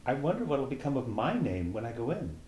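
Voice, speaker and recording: A man's voice: mid-range voice, sing-song, a little fast, darkish tone, echoey room, slight background noise, voice pushed up, lightly acted. A man reading aloud as a curious young girl who rambles and muses to herself, timid when asking questions. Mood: musing.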